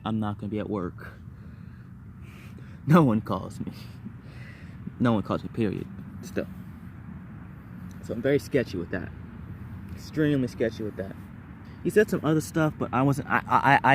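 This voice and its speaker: A man's voice: on the low side, darkish tone, a little hiss or hum. A man telling personal stories casually to viewers, rambling and repeating words and phrases in bursts. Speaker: vlogger.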